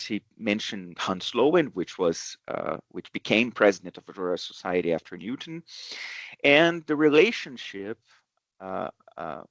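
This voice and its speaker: A man gives an academic seminar talk in English, his voice low and heard dry and close. He pauses often, filling gaps with "uh" and starting phrases over as he works through his points. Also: dry recording. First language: Portuguese. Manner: hesitant, many fillers and restarts. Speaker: research seminar speaker.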